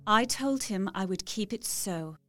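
A woman's voice: medium pitch, animated, a little slow, a bit bright. An actress playing a devoted young Victorian woman reading out her letter in an audio drama, earnest, tender and confiding. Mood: earnest devotion. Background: music.

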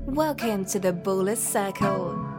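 Female announcer, mid-range voice, reading the show opener over loud music. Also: mid-range voice, a little slow. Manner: polished announcer delivery. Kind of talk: scripted show intro.

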